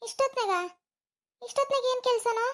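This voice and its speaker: A fretful cartoon mother, voiced by a woman, scolds and pleads with her son in a very high, shrill voice. Her tone swings between nagging and lament. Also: steady tone, a little fast. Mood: scolding. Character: worried middle-aged mother scolding her grown son. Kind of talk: home-made cartoon voice acting.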